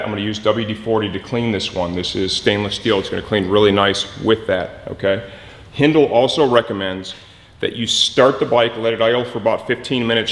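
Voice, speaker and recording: A man presenting a hands-on mechanical how-to, instructive and often checking in with a quick "okay". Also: lowish voice, long reverb tail.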